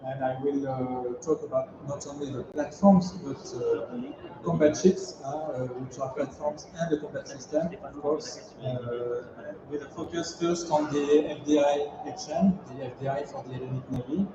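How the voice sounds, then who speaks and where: A man, an executive at a naval company, presents at a conference in a measured way, pausing often on fillers. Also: on the high side. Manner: measured, many filler pauses. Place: large conference hall. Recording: distant echoey hall, dull and noisy.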